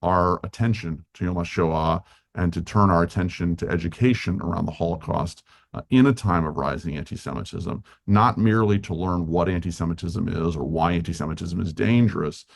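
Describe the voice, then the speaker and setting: Deep, gravelly man giving formal remarks. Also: fairly quick. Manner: earnest, with frequent "uh" fillers. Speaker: official speaker at a remembrance ceremony.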